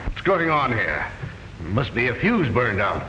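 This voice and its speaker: An actor playing a steady, matter-of-fact man in a 1940s radio drama, on a dull reverberant old recording. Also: mid-range voice, a little slow, a little hiss or hum. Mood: grave.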